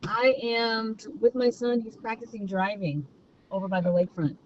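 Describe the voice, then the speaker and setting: A woman's voice: fairly high pitch, fairly even intonation, normal speed, darkish tone. A middle-aged woman chatting casually as a guest on a phone call. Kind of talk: casual chat. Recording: dull noisy phone call.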